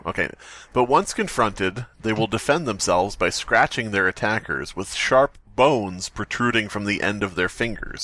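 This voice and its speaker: A man with a lively, animated voice co-hosting a horror fiction podcast. He reads and jokes with ironic asides and frequent laughs, recorded dry and close. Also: fairly low pitch, no echo. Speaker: podcast co-host reading stories. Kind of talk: casual podcast chat and reading aloud.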